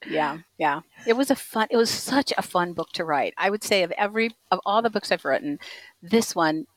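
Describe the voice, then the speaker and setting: A woman's voice: fairly low pitch, expressive, normal speed, no room sound, rough recording. An older woman, an author, talking about her writing in a casual, chatty interview, often restarting her sentences. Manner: chatty, with frequent restarts and laughs. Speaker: author guest.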